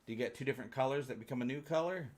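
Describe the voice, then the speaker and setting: A man's voice: mid-range voice, racing. A man hosting a fan livestream, chatting casually and answering viewers in a rambling, jokey, colloquial way. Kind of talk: casual chat, answering live viewer comments.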